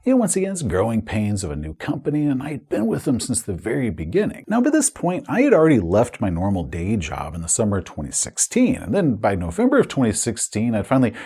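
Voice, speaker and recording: A man's voice: fairly low pitch, wildly swooping pitch, quick pace, few pauses, dark tone, husky. A man recounting his own career as an author and video host in a conversational, candid, emphatic way. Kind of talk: personal retrospective storytelling to camera.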